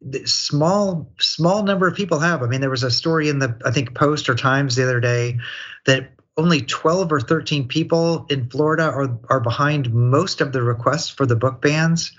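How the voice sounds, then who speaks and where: An American man offers opinionated commentary as a panel guest. His mid-pitched voice is earnest, and he often doubles back on words while making a point. The recording sounds slightly dull and lo-fi. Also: fairly bright tone.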